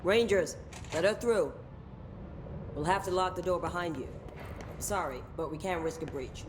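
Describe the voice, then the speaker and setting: Actress as brisk, commanding female operations chief. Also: lowish voice, very noisy, close to an everyday voice. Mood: brisk, authoritative. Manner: matter-of-fact, clipped, authoritative.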